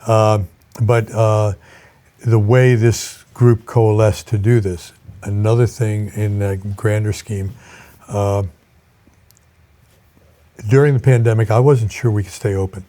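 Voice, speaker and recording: An older man speaking as a college president in an interview, reflective and measured, with halting pauses. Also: lowish voice, steady tone, dark tone.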